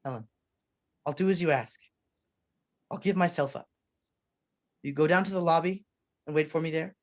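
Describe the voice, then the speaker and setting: A haunted man on the run, acted in a radio play, anguished and halting. The delivery is desperate 1940s melodrama from someone doubting his own sanity. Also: medium pitch, close to an everyday voice. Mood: resigned.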